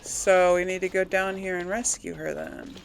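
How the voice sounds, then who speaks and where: A woman's voice: fairly low pitch, little pitch movement, moderate pace, creaky, soft background music, poor audio. A woman giving casual, chatty let's play commentary, thinking aloud and asking herself questions as she goes. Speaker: video game streamer and series host.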